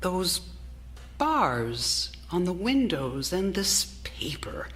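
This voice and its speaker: Anxious young wife, very expressive. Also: female voice, lowish voice, drawn-out words, exaggerated voice. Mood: fretful disgust. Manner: theatrical, fretful, apologetic, formal old-fashioned diction. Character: anxious, meek young wife confined for her nerves. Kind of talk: live period radio theater acting. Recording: live theater recording, clear background noise.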